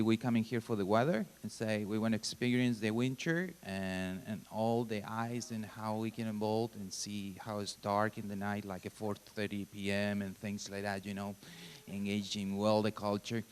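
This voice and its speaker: A man in his thirties from Argentina, a native Spanish speaker with an Argentine accent, giving an informal mission talk at church in halting, simple English. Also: on the low side, steady tone. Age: 30s. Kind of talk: church presentation. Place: church sanctuary. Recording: long hall reverb.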